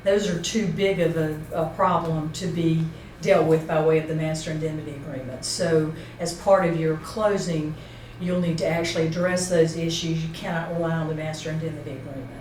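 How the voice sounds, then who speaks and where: A woman's voice: deep voice, fairly even intonation, brisk, darkish tone. Woman, instructional speaker, informal step-by-step explaining, echoey room, faint background noise. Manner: explains step by step, checking points with a colleague. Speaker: seminar presenter. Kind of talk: informal instructional talk with questions to a co-presenter. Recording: roomy echo, distant mic, faint noise.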